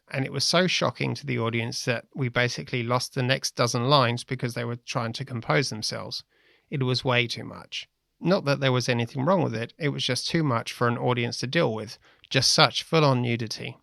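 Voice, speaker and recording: A man with a medium-pitched voice and plenty of fry in it. He relates first-person stories in the role of a playwright. The sound is dry, with next to no room on it. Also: a bit bright, no room sound. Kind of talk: reading quoted first-person anecdotes.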